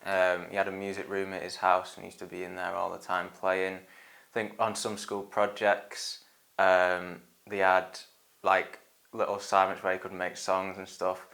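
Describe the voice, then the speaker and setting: A young man, talking casually in a documentary interview, relaxed and rambling with lots of ums and "and stuff". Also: deep voice, creaky. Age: young adult. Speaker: band guitarist.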